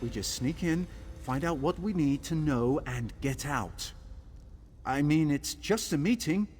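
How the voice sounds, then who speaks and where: An actor voicing an earnest, inexperienced young male scribe in a video game, anxious and halting as he reassures himself. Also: on the high side, expressive, a little mellow. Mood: nervous. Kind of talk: video game character acting. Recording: reverberant game hall with music.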